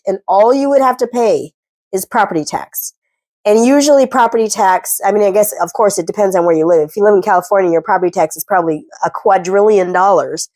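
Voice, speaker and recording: A woman's voice: medium pitch, a little mellow, no echo. Casting: woman vlogger with a head cold, chatty and earnest, close dry sound. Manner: chatty, earnest, self-deprecating. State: congested from a cold. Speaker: personal finance vlogger. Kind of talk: casual talking-head advice. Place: at home.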